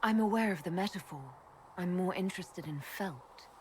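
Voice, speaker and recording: An actress playing a self-possessed, perceptive elf heroine in a video game, with a dry, understated manner. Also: female voice, on the low side, moderate pace, a little mellow, a little hiss or hum, natural voice. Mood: wry, teasing. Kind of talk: dramatic video game cutscene dialogue.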